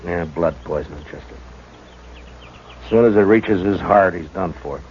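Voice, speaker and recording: An actor playing a steady, weary frontier marshal in a 1950s radio drama, talking in a low-key, terse, dry way. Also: male voice, moderate pace, close to an everyday voice. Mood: grim, terse. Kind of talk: 1950s radio drama acting with first-person narration. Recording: dull 1950s radio broadcast, faint hiss.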